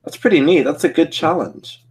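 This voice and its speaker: A man's voice: fairly high pitch, normal speed, a little mellow, husky. A man chatting informally and easily as a guest on a casual live stream.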